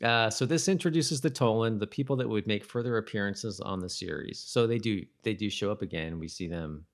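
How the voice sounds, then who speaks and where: A man co-hosting a podcast in casual conversation, with a halting, self-correcting way of talking. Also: on the low side. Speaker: podcast co-host. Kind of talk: casual chat about a TV episode.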